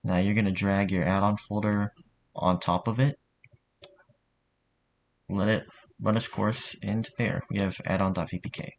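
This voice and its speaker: A man narrating a software tutorial in an informal, somewhat hesitant way. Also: lowish voice, level tone, a little slow, vocal fry, pauses often. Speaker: tutorial host.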